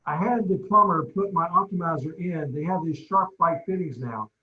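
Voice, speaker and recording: A man's voice: a little high, moderate pace. A man on a conference call casually telling a practical anecdote, on dull, roomy call audio. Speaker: participant on the call.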